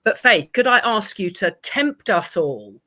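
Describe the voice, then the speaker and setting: A woman's voice: medium pitch, very animated, unhurried, sharp tone. A woman hosting an online book event, chatting with enthusiasm and praise for her guests. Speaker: event host for a literacy charity. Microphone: video call.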